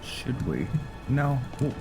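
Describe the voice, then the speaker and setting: A man's voice: mid-range voice, big pitch swings, takes it slowly. A man casually commenting while playing a role-playing game, joking and thinking aloud. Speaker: gaming video host. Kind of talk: casual let's-play commentary. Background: game music.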